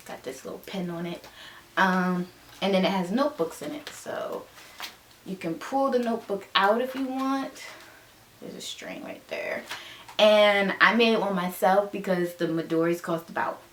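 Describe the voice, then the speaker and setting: A woman's voice: medium pitch, echoey room, slight background noise. A woman in her twenties with a stuffed-up nose, chatting casually and upbeat as a vlogger showing her things. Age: 20s. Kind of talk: casual show-and-tell chat. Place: her bedroom.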